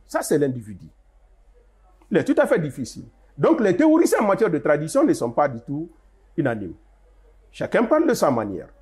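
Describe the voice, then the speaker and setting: An older man answers interview questions with a husky voice and a slightly high pitch. His speech rises and falls a lot as he stresses key points, and he often restarts his sentences while explaining. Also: a little mellow. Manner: emphatic, explanatory, with rising stresses and frequent restarts. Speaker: guest, Arabic translator.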